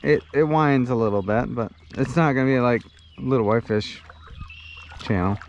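Relaxed man narrating from a kayak. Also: medium pitch, moderate pace, dull audio, slight background noise. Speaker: vlogger paddling a kayak. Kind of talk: casual outdoor chat. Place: kayak on a calm lake outdoors. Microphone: action camera on the boat.

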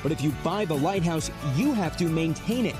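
A young man narrates a news feature in an upbeat, scripted way, his voice a little high and full of rises and falls. Music plays clearly under his words. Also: animated, brisk. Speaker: news correspondent.

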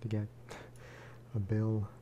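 A man speaking softly in a low-key, rambling ASMR style as he chats over gameplay. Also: completely flat delivery, drawn-out words, dull audio, a little hiss or hum. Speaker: gaming video creator. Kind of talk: soft-spoken ASMR gameplay chat.

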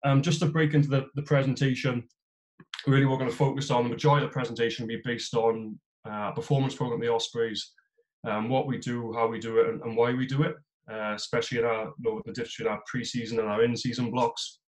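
A man's voice: medium pitch, little pitch movement, quick pace, low-quality recording. A man with a South Welsh accent talks through a webinar presentation over a video call. His voice sits in a middle register, and he speaks loosely, with frequent fillers and restarts. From South Wales. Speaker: head of physical performance, guest speaker. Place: small room at home, indoors. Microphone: video call on a laptop.

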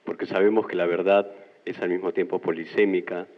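A lower-voiced Peruvian man with a Peruvian accent stands in the audience and speaks into a handheld mic. He builds a long, formal question in careful academic terms. The sound is dull and boomy, with a long echo in the hall. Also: flat delivery, a little fast. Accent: Peruvian Spanish. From Peru. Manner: articulate, academic, long-winded. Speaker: audience member asking a question. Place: university classroom. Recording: muffled, roomy lecture hall.

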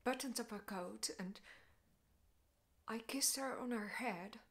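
Woman acting grieving bitter mother, high voice. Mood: hushed, aching. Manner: emotionally intense, deliberate, halting phrasing. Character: grieving, bitter mother confronting her ex-husband. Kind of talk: dramatic stage monologue.

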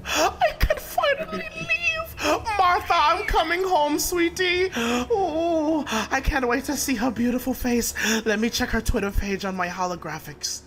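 A man's voice: very high voice, lively intonation, measured pace, few pauses, full character voice. A man voicing a pompous, easily enraged middle-aged mad scientist in an improvised comic game fan dub, theatrically moving between muttering and shrieking. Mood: tearful relief. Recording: loud game music behind.

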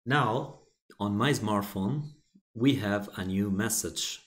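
Man, Italian native, unhurried and fairly low, plain step-by-step tutorial narration with restarts. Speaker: software tutorial presenter. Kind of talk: screen-recorded software walkthrough.

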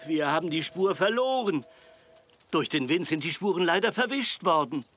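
Lively, kindly old cartoon grandfather. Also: on the high side, normal speed. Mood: thoughtful. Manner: gentle, musing, encouraging. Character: kindly, playful old grandfather zebra, patient and encouraging. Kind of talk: children's cartoon dubbing. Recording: clear music bed behind.

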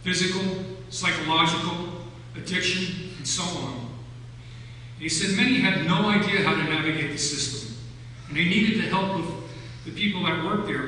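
An older man gives a sermon in a deliberate, phrase-by-phrase delivery. His voice sits a bit high for a man and carries through a big church hall with long, heavy reverb. A noticeable hum runs under the distant recording. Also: sharp tone. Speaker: preacher. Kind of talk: sermon, telling stories from notes. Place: large church sanctuary, at the pulpit.

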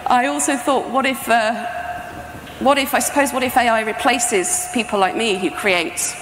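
A middle-aged woman gives an informal talk in a conversational, explanatory way. Her voice sits fairly high, and it rings in an echoey hall with faint noise behind it. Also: moderate pace. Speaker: sound artist presenting a project. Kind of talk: conference talk, informal presentation. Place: indoor talk venue with projection screen, on stage.

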